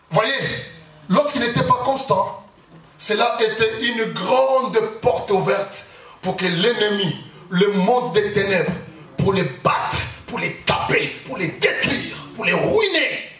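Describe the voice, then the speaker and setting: High, bright-voiced preaching pastor. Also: male voice, lively intonation, slow. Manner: emphatic preaching, bursts of shouting, repetition. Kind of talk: sermon. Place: church hall. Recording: echoey hall, far from mic, faint noise.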